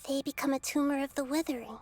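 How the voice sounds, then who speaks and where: A woman voicing a small, girlish deity in an anime game, wise and gentle, explaining things in a calm, thoughtful way. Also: sits high, quick pace, soft background music, close to an everyday voice. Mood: pensive.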